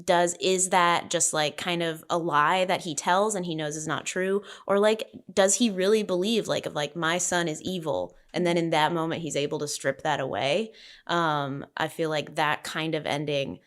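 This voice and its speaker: Female panelist, slightly low register, casual, thoughtful and halting delivery. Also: fairly even intonation. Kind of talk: casual script discussion.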